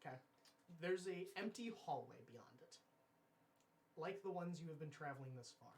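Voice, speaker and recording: A woman's voice: low voice, lively intonation, moderate pace, darkish tone, a little hiss or hum. A woman acting as game master, casually narrating a live tabletop game and putting questions to the players.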